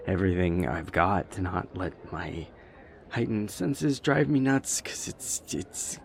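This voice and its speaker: A man playing a nervous vampire boyfriend who is afraid of flying, speaking haltingly with stammers in an intimate audio roleplay. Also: sits low, sing-song, gravelly, slight background noise, exaggerated voice. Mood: nervous, uneasy.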